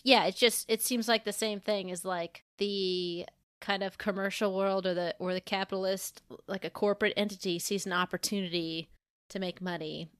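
A woman's voice: medium pitch, fairly bright tone, smooth, dry recording, clean studio sound. A woman co-hosting a podcast, discussing things in a casual, opinionated and informal way. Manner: informal, frequent swearing. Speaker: podcast co-host.

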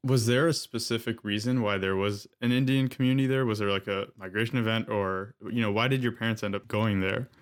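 A man's voice: lowish voice, steady tone, brisk, few pauses. Easygoing male podcast host. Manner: easygoing, joking, conversational. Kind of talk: casual interview chat.

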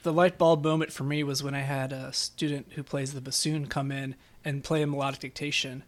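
A man's voice: medium pitch, brisk. A man co-hosting a casual podcast discussion, telling stories with animation and acting out quotes. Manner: animated storytelling, acts out quotes. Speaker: podcast co-host, music theory teacher.

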